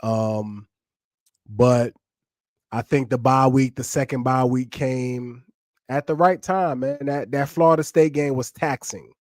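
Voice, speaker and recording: A man's voice: medium pitch, fairly even intonation, slow, a little mellow, no echo, studio quality. A man hosting a live podcast in laid-back, slangy casual chat, sounding nasal and congested from a sinus infection. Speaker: podcast host.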